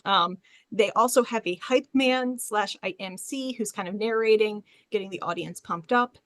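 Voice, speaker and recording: A woman's voice: mid-range voice, normal speed, no echo. A woman who is a librarian giving an informal presentation as a panelist on a webinar.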